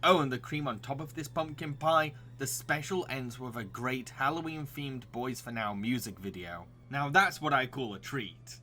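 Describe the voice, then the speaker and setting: A man's voice: medium pitch, moderate pace, hardly any pauses. A man narrating a scripted review as the presenter of a recommendation video, with enthusiasm.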